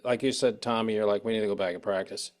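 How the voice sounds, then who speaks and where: A man's voice: lowish voice, steady tone, fast. A man hosting a casual music interview, talking in a rambling, conversational way about his own experience as a musician. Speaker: show host.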